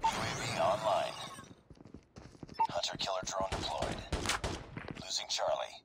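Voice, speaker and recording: A man's voice: sits low, animated, unhurried, creaky, very noisy, full character voice. A man playing a stern military announcer in a video game, calling battle objectives in clipped, commanding phrases. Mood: terse urgency. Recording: game audio under loud gunfire. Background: gunfire and weapon clicks.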